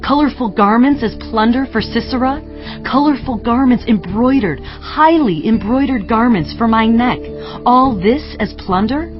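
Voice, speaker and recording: Slow, expressive woman reciting scripture. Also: on the high side. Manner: poetic, expressive. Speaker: narrator. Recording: dull lo-fi audio, faint music. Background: music.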